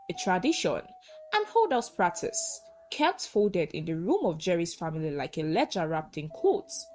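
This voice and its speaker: A woman narrating a scripted folktale in short, measured, dramatic phrases. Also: fairly low pitch. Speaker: storyteller, narrator.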